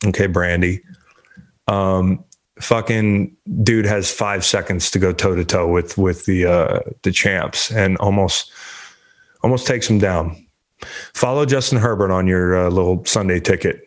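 Male sports host, 30s, lowish voice, loose profane rambling delivery, slightly stuffy. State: congested. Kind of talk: casual sports talk.

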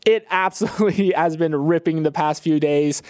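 A man's voice: on the high side, moderate pace, darkish tone, dry recording, studio quality. A man co-hosting a podcast, offering conversational commentary on business news. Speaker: podcast co-host.

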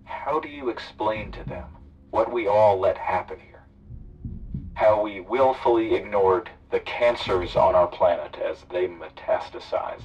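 An actor playing a calm, sardonic male hijacker who threatens and persuades by turns, sounding muffled and distant. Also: on the low side, a little slow, husky. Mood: earnest. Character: smooth male eco-activist hijacker, menacing. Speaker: radio drama character.

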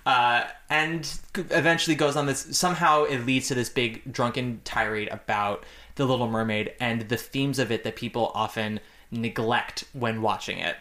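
A man hosting a podcast in casual, chatty conversation, animated and campy, swearing freely. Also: mid-range voice, normal speed, reverberant. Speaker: podcast host.

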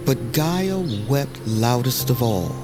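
A man narrating a dark fantasy legend as a dramatic, solemn storyteller with poetic phrasing. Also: mid-range voice, expressive, slow. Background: music.

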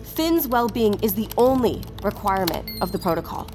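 A woman's voice: medium pitch, very animated, moderate pace, over music, natural voice. A woman playing a driven, commanding facility insider under pressure in an audio drama. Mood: tense, controlled. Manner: intense, assertive.

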